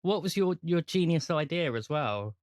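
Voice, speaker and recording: A man's voice: fairly high pitch, lively intonation, moderate pace, no echo. A man hosting a tabletop role-playing podcast in casual chat, with a jokey, teasing manner. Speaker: host and game master of a role-playing podcast. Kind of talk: casual chat introducing and recapping a game.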